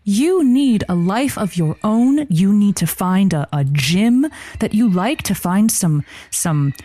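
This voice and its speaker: A woman playing a gentle, encouraging call-in advice host in a comedy radio parody. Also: medium pitch, animated, slow, no echo.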